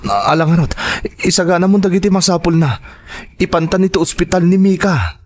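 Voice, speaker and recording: A man's voice: sits high, a little fast, a little mellow, lightly acted. A man playing a concerned husband and father in an audio drama, with a questioning delivery. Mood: alarmed, urgent.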